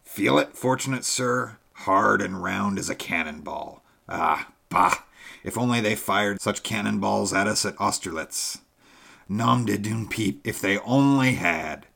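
Guy doing a hoarse old soldier, loud and flattering, lowish voice. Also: unhurried, heavily acted. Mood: jovial, pompous. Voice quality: hoarse, barrack-room manner.

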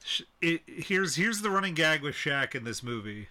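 A man co-hosting a movie podcast in casual chat, sarcastic and quick to laugh. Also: a little high, big pitch swings, sharp tone. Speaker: podcast co-host.

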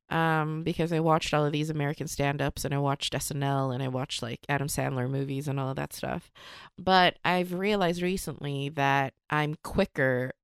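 A woman's voice: sits low, clean tone, no room sound, clean studio sound. A woman comedian from Sweden whose first language is Swedish, talking thoughtfully in a casual podcast interview, with fillers and restarts. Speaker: comedian guest.

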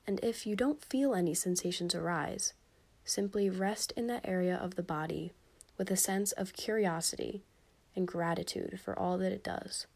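Soft-spoken woman guiding a sleep meditation. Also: mid-range voice, gravelly, husky. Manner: soft, soothing, gentle instruction with pauses. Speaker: meditation guide. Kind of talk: guided sleep meditation, scripted.

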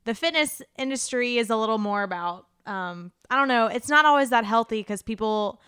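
Loud, smooth-voiced young woman. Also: fairly high pitch, lively intonation, fairly quick, dry recording, clean studio sound. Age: young adult. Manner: loud voice, laughs easily. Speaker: guest. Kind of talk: casual interview answers.

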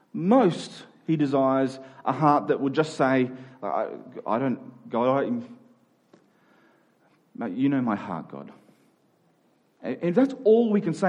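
A man's voice: a little high, a little mellow. A man preaching in a conversational, earnest and self-deprecating way, thinking aloud and repeating phrases as he goes. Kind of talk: conversational sermon.

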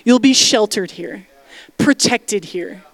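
A woman's voice: mid-range voice, slow pace, no echo. A woman pastor preaching a sermon, emphatic and direct, calling out to the congregation for responses and dropping casual asides. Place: church sanctuary.

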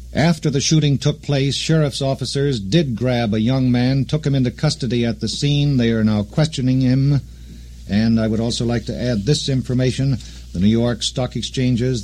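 A man anchoring a live breaking news bulletin on 1960s radio, speaking deliberately and repeating key phrases. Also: medium pitch, mellow.

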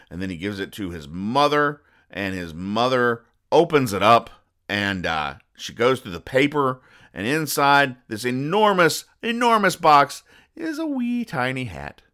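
A man hosting a podcast, describing comic strips in a halting, deadpan, mock-exasperated way. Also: medium pitch, clean tone. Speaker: podcast host. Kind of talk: comic commentary reading newspaper comics aloud.

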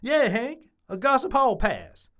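A woman's voice: fairly high pitch, very animated, measured pace, a bit bright. A woman voicing a nosy, defensive small-town busybody man with a Southern US accent, in a comic audio drama. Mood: eager, nosy.

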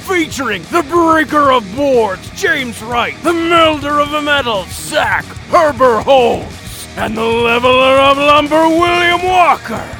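A man gives a booming, theatrical show intro in a very high, bright voice. He draws out his words slowly, with faint music playing underneath. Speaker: podcast intro announcer. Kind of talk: dramatic announcer intro. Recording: music behind the voice.

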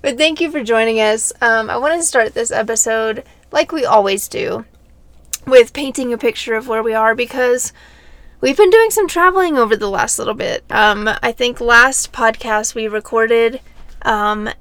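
Higher-voiced young woman chatting casually. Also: moderate pace, fairly bright tone, no echo. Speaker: podcast co-host. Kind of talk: casual unscripted podcast chat.

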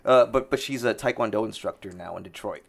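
A man from South Korea with a gravelly edge to his voice talks about his work as a guest. He is modest and easygoing, often hesitating and laughing as he speaks. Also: fairly low pitch. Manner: modest, laid-back, halting, laughs often. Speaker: tattoo artist guest. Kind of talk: casual interview chat.

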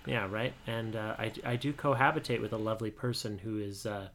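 A man in his twenties with a fairly high, boyish voice, co-hosting a casual podcast and talking candidly in a halting, soft-spoken way. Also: steady tone, crowd noise. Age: 20s. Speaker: podcast co-host.

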